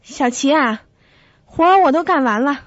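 A woman's voice: sits high, lively intonation, moderate pace, dry recording. A woman playing a hesitant, superstitious rural housekeeper in her fifties in a radio drama. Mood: uneasy, hesitant. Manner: hesitant, familiar, colloquial. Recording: dull lo-fi audio.

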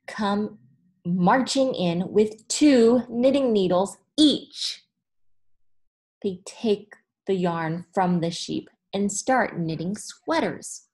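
A woman in her thirties reads a picture book to children at a very slow pace, with a mid-pitched voice. She is expressive and deliberate, leaving pauses between phrases. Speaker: teacher. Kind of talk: storybook read-aloud for children. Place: small room in front of a whiteboard, indoors.

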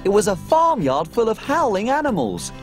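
A woman voicing a small cook who tells her farm adventure in lively, expressive storybook narration for children. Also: medium pitch, normal speed, faint music behind, lightly acted. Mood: storytelling.

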